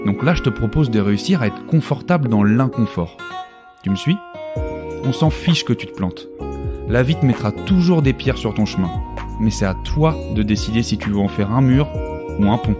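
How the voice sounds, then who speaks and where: A male podcast host with a fairly low voice speaks upbeat and motivational, talking straight to the listener. Guitar music plays clearly underneath his voice. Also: expressive, normal speed. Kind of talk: podcast intro and interview questions. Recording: music playing behind the voice.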